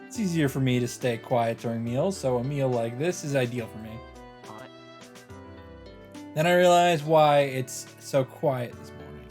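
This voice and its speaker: Man reading a visual novel aloud, mid-pitched. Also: a little slow, a little mellow. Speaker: let's play host. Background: game music.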